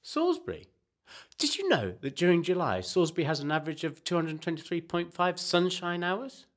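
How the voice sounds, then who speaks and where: A man's voice: high voice, big pitch swings, fairly quick, fairly bright tone. A man playing an obsessive, fragile geography teacher in a comedy radio play, stammering and pedantic. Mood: nervous, pedantic. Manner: hesitant, stammering.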